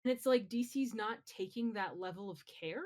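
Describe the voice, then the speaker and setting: A woman's voice: mid-range voice, normal speed, darkish tone. A woman co-hosting a podcast in casual pop-culture chat, conversational and opinionated. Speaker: podcast co-host.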